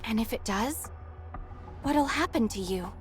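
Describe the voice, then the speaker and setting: A woman's voice: fairly high pitch, expressive, measured pace, faint music behind. A woman voicing a friendly, easygoing young female character in a video game. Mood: concerned.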